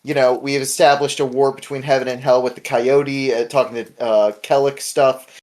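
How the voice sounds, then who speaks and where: A young man hosting a casual discussion podcast, retelling things in an animated way with frequent swearing. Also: mid-range voice, moderate pace. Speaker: podcast host.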